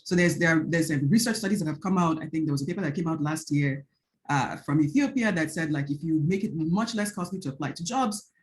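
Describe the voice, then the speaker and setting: A woman's voice: low-pitched, a little fast. A woman from Nigeria with a Nigerian accent giving an earnest academic panel talk on a video call. She often checks in with "right?" and restarts her phrases as she thinks. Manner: earnest, frequent "right?" tag questions and restarts. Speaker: panel speaker, researcher.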